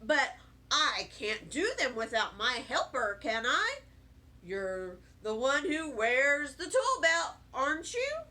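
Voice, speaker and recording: A woman's voice: high-pitched, sing-song, slow, pushed and bright, heavily acted. A woman voicing a hearty, teasing, kindly old grandfather in a children's storybook, emphatic and coaxing. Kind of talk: children's storybook read-aloud.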